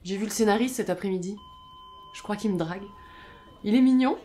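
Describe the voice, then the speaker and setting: A woman's voice: medium pitch, fairly quick, dark tone, slight background noise, lightly acted. A woman playing a frank, guarded, inquisitive woman in an audio drama, speaking directly and colloquially. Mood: confiding.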